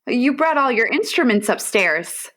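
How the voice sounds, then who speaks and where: Woman playing a high-voiced, long-suffering wife. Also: sing-song, a little slow. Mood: soothing. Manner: gentle, placating. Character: patient, soothing, long-suffering jazz musician's wife, Linda. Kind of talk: comic radio play acting. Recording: noisy remote home recording with clicks.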